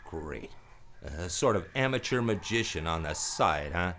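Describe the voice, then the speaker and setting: A man's voice: deep voice, lively intonation, measured pace, a bit bright, a little hiss or hum, heavily acted. A man playing a wry, world-weary private detective in a noir radio play, terse and sardonic. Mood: wry, probing. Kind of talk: radio noir acting with first-person narration. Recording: radio play over guitar music.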